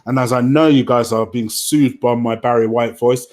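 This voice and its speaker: A man's voice: mid-range voice, steady tone, moderate pace, a little mellow. A man hosting a football fan livestream, chatting informally and matter-of-factly to his viewers in a rambling, sweary way. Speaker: host of a football fan show.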